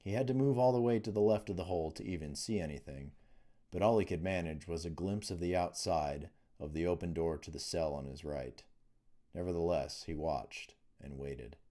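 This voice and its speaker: A man's voice: low voice, moderate pace, dark tone. A man reading fantasy fiction aloud as an author narrating his own audiobook.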